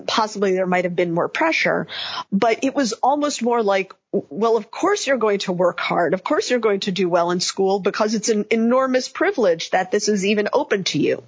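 A woman's voice: mid-range voice, lively intonation, normal speed, husky. A woman guest in an interview, talking thoughtfully and searching for the right words as she recalls her upbringing. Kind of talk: reflective conversational storytelling. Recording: muffled lo-fi recording.

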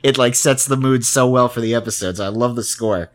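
A man hosting a casual interview, speaking in a halting, rambling way as he thinks aloud. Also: mid-range voice. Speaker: interviewer, podcast host.

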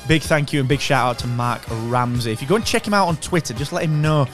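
A man's voice: medium pitch, quick pace, a bit bright, faint music behind. A man co-hosting a podcast in a casual, chummy, jokey way. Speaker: podcast co-host. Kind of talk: casual chat.

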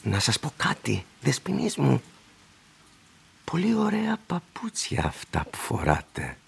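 An actor playing a polite, eerily insistent old shoe-shiner in a radio drama, with a gravelly, husky, breathy voice and a confiding manner. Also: male voice, medium pitch, wildly swooping pitch, heavily acted. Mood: confiding, admiring. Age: older.

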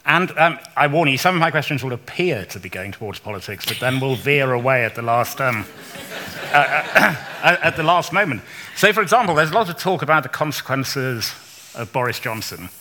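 A man interviewing a guest at a live festival event, asking hesitant, halting questions full of ums. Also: medium pitch, expressive, bright, forceful tone.

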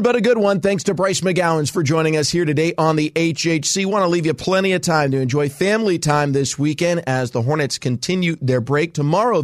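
A man's voice: fairly high pitch, no room sound, clean studio sound. A man hosting a sports podcast interview in a polished broadcaster's style. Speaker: sports podcast host and radio play-by-play broadcaster.

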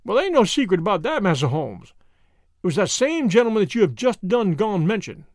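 A crude, menacing bruiser voiced by a man barks threats in rough slang, loud and raised in pitch. His voice swings widely up and down. The sound is close and dry. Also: big pitch swings, a little fast, no echo. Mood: cowering. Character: hulking blustering male bruiser, menacing and crude.

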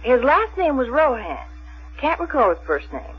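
A woman's voice: high-pitched, sing-song, moderate pace, a little hiss or hum. An actress playing a plain, middle-aged small-town woman, hesitant and wistful as she reminisces in a 1970s radio drama. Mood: nostalgic. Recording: dull vintage 1970s radio recording.

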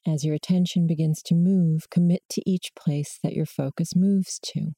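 A woman guiding a body scan meditation in slow, measured short prompts with long pauses between them. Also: fairly low pitch, fairly even intonation, dark tone, husky, no echo. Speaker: meditation guide.

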